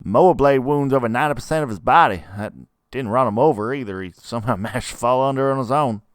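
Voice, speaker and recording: A man voicing a folksy young game character with a Southern US accent, telling rambling yarns excitedly. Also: mid-range voice, quick pace, clear, resonant tone, dry recording, clean studio sound, natural voice. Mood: gleeful. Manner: rambling, chuckly yarn-spinning.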